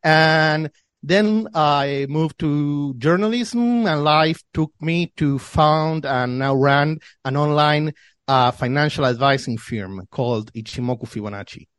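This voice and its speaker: Man, 30s, from Argentina, podcast guest, explanatory, repeats and restarts, slightly high voice, dry sound. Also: takes it slowly, fairly bright tone, smooth, no room sound. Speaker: guest, financial newsletter founder. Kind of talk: podcast interview.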